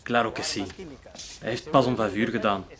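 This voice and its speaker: An actor playing a calm, reasonable male conspirator in a radio drama, placating and measured. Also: on the low side, a crowd in the background, close to an everyday voice. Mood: reassuring. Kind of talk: radio drama acting, switching between Spanish and Dutch.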